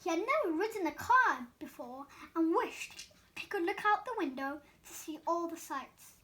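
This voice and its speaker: A woman reading a children's storybook aloud as an expressive storyteller. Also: very high-pitched, moderate pace.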